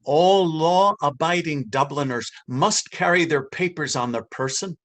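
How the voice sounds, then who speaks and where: A man's voice: a little high, unhurried, fairly bright tone. An actor playing a bullying, sceptical Dublin policeman in a stage reading, mocking and officious. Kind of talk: stage readers theater acting.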